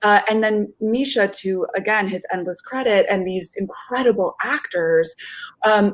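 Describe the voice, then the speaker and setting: A woman's voice: mid-range voice, poor audio. A woman in her thirties, a theatre director, answering interview questions over a video call from a room at home. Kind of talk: interview answer about creative process.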